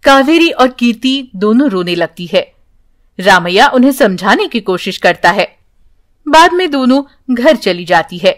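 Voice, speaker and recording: A woman's voice: fairly high pitch, expressive, unhurried. A woman narrating a scripted children's story as the storyteller.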